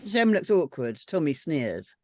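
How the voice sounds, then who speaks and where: A woman's voice: low-pitched, very animated, unhurried, mellow, no echo. A woman reading out screenplay stage directions as scripted narration.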